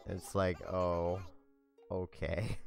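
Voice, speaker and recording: A young man hosts a gameplay video in a flat, monotone voice that sits on the low side and carries a slight scratch. He rambles easily and fills his speech with "like"s. Faint music plays underneath. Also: extremely slow, creaky. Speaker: let's play host. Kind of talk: casual gameplay commentary.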